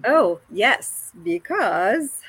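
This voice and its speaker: A woman, a paramotor pilot speaking as an interview guest, hesitant with many pauses and restarts. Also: medium pitch, big pitch swings, fairly bright tone, husky, no room sound. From Egypt. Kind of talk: casual video-call interview.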